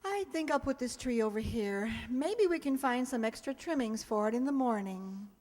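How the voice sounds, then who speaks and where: A high female voice rings out in a long, echoey hall. It is an actress as a dry, wisecracking schoolteacher from a vintage-style radio comedy, tossing off wry remarks. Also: animated, normal speed, a little mellow, a little hiss or hum, natural voice. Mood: wistful, musing. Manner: dry, sardonic. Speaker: lead actor and narrator. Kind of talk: old-time radio comedy acting.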